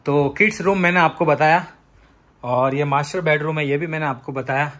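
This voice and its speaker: A man's voice: a little high, moderate pace, a bit bright. Chatty man giving a home tour. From India, raised in Rajasthan. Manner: chatty, guiding viewers room by room. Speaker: vlogger. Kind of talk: casual house tour vlog. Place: apartment, indoors.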